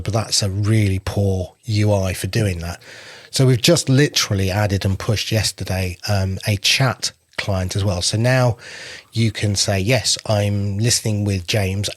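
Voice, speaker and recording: A man walks through new app features in a relaxed, chatty way. His voice is slightly husky. The sound is close and dry, with no room behind it. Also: lowish voice, no room sound. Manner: explains product features step by step in a relaxed, chatty way. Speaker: podcast app founder and co-host. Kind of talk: casual podcast chat.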